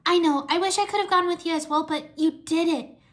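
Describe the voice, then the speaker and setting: A woman's voice: very high voice, steady tone, brisk, pitched above the performer's own voice. A woman voicing an affectionate, eager young woman with a heartfelt, emotional delivery. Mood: tender.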